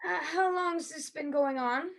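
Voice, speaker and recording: Actress as female private investigator, high voice, courteous, cautious, skeptical, hesitant questioning, stage play reading. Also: a little slow, fairly bright tone. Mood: businesslike, probing.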